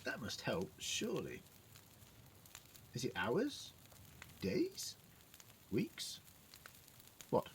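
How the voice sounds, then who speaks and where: A man's voice: medium pitch, huge pitch swings, very slow, long pauses, slight background noise, heavily acted. A man playing an eccentric, polite alien time-travelling scientist in an audio drama, with a precise, wordy manner. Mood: concerned. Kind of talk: fan audio drama acting.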